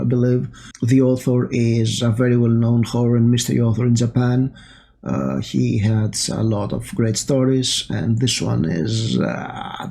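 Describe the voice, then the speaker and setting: A man talks through a horror story in a casual review, his voice mellow and warm. He speaks at a middling pitch and keeps a loose, chatty flow, dotted with "uh" and "um" as he goes. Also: creaky. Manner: chatty, filler-heavy retelling. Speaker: host.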